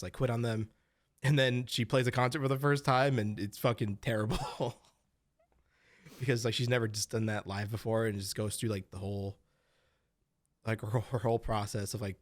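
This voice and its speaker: A man's voice: on the low side, no room sound, studio quality. A young man co-hosting a podcast, chatting casually with lots of fillers and swearing as he rambles. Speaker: podcast co-host. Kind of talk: casual chat.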